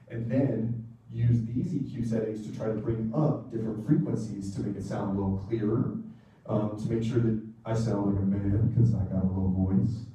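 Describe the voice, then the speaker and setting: A man talks a class through sound gear in a loose, chatty way, full of "um" and "like". His voice is mellow and relaxed. It's picked up from far off in a very echoey room, with a faint hiss underneath. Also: on the low side. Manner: casual, chatty explaining with fillers. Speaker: music technology presenter. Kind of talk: classroom lecture with questions. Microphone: room mic from a distance.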